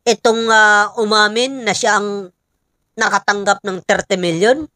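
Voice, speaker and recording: A Filipino woman giving informal political commentary, pausing often and emphatically putting rhetorical questions to listeners. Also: mid-range voice, steady tone, bright, forceful tone, no echo, studio quality.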